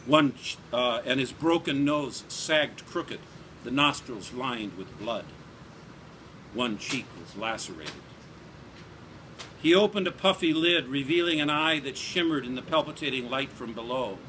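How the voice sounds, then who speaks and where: A man reads a novel aloud in a bright, forceful voice at medium pitch. His delivery is theatrical and deliberate, letting phrase endings drop. It is a live recording with faint background noise. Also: animated, poor audio. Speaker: author reading aloud from a novel. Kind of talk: dramatic literary reading of scripted narration. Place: live reading at a bookstore event.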